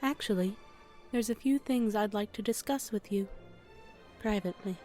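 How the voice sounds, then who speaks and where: Mellow, poised princess, acted by a woman. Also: medium pitch, normal speed, natural voice. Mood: courteous. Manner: formal, polite. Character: poised, courteous visiting princess. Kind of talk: audio drama acting. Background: music.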